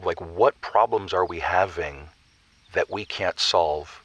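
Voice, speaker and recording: A man playing an earnest, baffled husband calling a radio show, halting and stammering with sudden emphasis. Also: low-pitched, a bit bright, slightly rough, dry recording, muffled recording, full character voice. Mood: baffled, reluctant. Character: earnest, baffled, reluctant married man caller. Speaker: caller. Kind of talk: audio drama phone-in.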